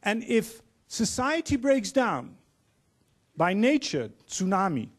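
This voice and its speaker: Man, 50s, Dutch accent, higher voice, earnest forum moderator on a handheld mic. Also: very animated. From Netherlands. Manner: earnest, measured, quoting speakers. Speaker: panel moderator. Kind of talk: formal opening speech. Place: large auditorium on stage.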